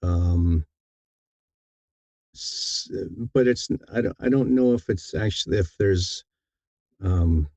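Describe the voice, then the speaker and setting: A man giving thoughtful interview answers in a halting, deliberate way, often repeating words as he thinks. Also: low-pitched, warm tone, no room sound. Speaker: audiobook narrator, interview guest.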